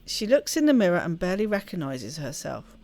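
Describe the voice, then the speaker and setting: A woman reading a story aloud as expressive narration for a game playthrough. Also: fairly low pitch, fairly quick. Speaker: let's play host reading game text aloud.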